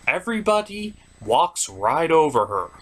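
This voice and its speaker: A man with a fairly high voice and very animated intonation narrates a scripted comedy review. He comes across as a wry, self-deprecating host. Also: a little slow.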